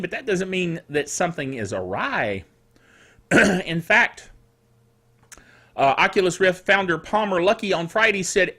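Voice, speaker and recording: Man, bright high voice, slightly rough throat, chatty tech host, jokey asides. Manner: chatty, jokey, digressive, self-correcting. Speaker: host of a tech news show; technical director for radio stations. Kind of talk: casual scripted tech news commentary with asides.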